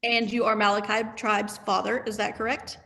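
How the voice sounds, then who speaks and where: A woman attorney questions a witness over a video call, and a gravelly fry runs under her voice. She asks formal, methodical yes-or-no questions at a middle pitch. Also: little pitch movement, moderate pace, bright, forceful tone.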